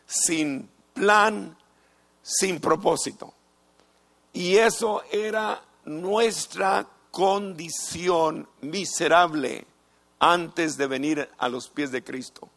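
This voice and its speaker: A middle-aged man preaches with an unhurried, measured delivery. His voice sits on the higher side, and he repeats phrases and lets pauses hang between them. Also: vocal fry, fairly bright tone. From United States. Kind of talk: sermon.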